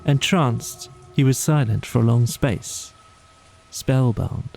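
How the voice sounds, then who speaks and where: A man reads a bedtime story as an audiobook narrator, slowly and solemnly. His voice is mellow with a gravelly creak, and music plays softly behind his measured phrases. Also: mid-range voice.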